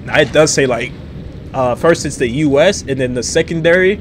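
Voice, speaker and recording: A young man commenting casually as he plays a horror game, loud and joking, repeating phrases, on a headset mic in a small room. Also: medium pitch, moderate pace, a little hiss or hum. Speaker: gaming streamer. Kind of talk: casual let's-play commentary.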